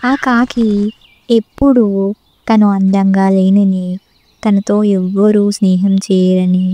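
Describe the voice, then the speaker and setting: A woman narrates a scripted children's moral tale. Her voice is medium-pitched and mellow. The recording is dry, with little room sound. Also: no echo.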